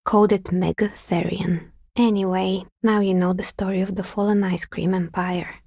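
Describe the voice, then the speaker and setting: Wry female artisan, game character, husky and creaky, measured, matter-of-fact delivery. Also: mid-range voice, flat delivery, darkish tone, no room sound, clean studio sound. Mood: dry, musing. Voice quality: husky, breathy with some creak. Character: wry, pragmatic woman craftsperson, a novelty dicemaker. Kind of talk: video game character dialogue.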